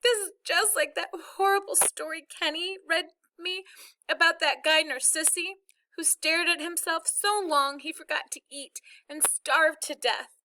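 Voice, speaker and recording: A woman's voice: extremely high pitch, slow, hardly any pauses, background noise, pitched above the performer's own voice. A woman voicing an earnest, tearful little girl in a storybook reading. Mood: tearful, pleading. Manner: blubbering, pleading.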